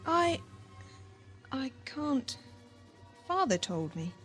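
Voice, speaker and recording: A woman's voice: sits high, sing-song, very slow, a bit bright, exaggerated voice. A woman playing a naive, devoted, childlike young woman in an audio drama, with a hesitant girlish manner. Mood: naive, unsure. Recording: amateur 1980s audio drama with music.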